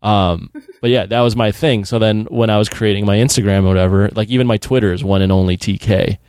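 A man's voice: lowish voice, steady tone, fast talker, darkish tone, dry recording. A man in his thirties hosting a podcast, laid-back and chatty, laughing often. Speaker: podcast host. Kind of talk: casual chat.

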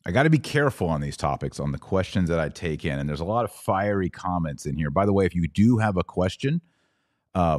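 A man's voice: extremely low pitch, a little mellow, no echo, clean studio sound. A man with an American accent hosting a live commentary podcast, conversationally reading out viewer comments, posing questions and thinking aloud. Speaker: podcast host.

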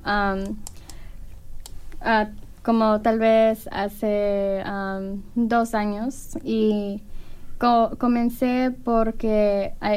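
Flat-toned young woman from Ecuador. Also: medium pitch, clean tone, rough recording. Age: young adult. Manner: hesitant, many fillers. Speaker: university student. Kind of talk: casual interview answers.

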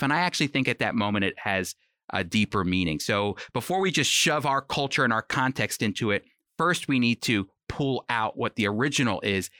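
A man's voice: medium pitch, pushed and bright, no echo, clean studio sound. A man giving conversational, scripted Bible teaching in an earnest, explanatory manner. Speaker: Bible teacher on a radio program.

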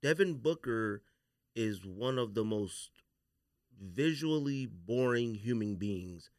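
A man's voice: lowish voice, lively intonation, slow pace, dry recording, studio quality. A man hosting a podcast in casual, slangy sports and sneaker banter, pressing his point with repeated lead-ins. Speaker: podcast host. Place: dry studio.

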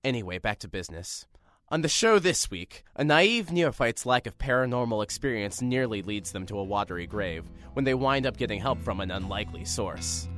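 A man's voice: mid-range voice, normal speed, soft background music, close to an everyday voice. A man playing a nervous rookie radio host. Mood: earnest, rambling. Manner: rambling, self-deprecating, lively. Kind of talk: audio drama monologue.

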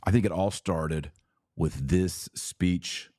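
A man hosting an opinionated commentary show, speaking emphatically and indignantly, with stressed pauses on key words. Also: deep voice, a little mellow, no echo, clean studio sound. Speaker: podcast host and commentator. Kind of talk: opinionated news commentary to viewers.

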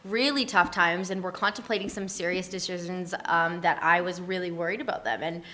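A woman's voice: on the low side, fairly even intonation, a little fast, bright, forceful tone. A woman with a Canadian accent chatting casually as an interview guest, telling stories in an informal, rambling and giggly way. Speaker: interview guest, photographer running a letter-writing project. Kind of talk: casual chat.

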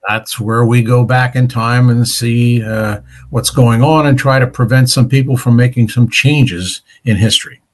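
An older man answering interview questions as a guest author, in a measured, plain-spoken, slightly hesitant way. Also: mid-range voice, steady tone.

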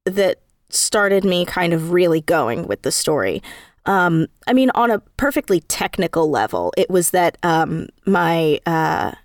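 Female interview guest, lowish register, emphatic conversational delivery, very dry close recording. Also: very animated, measured pace, dry recording. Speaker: interview guest, audio drama creator and actor. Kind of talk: casual interview chat.

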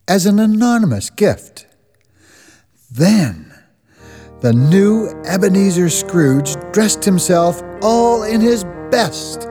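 An actor narrating a Christmas radio drama as a storyteller, measured and expressive. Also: male voice, on the high side. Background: music.

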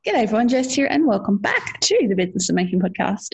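A woman's voice: medium pitch, very animated, fast talker, vocal fry, darkish tone, husky. A woman hosting a podcast in casual, chatty conversation, laughing often. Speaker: podcast host.

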